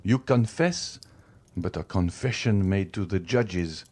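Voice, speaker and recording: A man's voice: low voice, slow, a little mellow. A man reading an audiobook, voicing a stern magistrate husband who accuses his wife with cold contempt and climbing fury. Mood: accusing. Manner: accusing, rising to furious outbursts.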